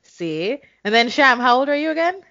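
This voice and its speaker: A woman's voice: high-pitched, big pitch swings, moderate pace, pushed and bright, no echo, highs cut off. A woman, co-hosting a casual, candid chat and laughing often. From Jamaica. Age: 30s. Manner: candid, laughing, frequent swearing. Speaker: podcast co-host.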